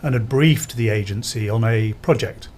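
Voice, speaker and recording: A man's voice: fairly low pitch, normal speed, a little hiss or hum. A man guesting on a podcast, telling his story casually with frequent ums. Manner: relaxed, hesitant with ums. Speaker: podcast guest, business consultant.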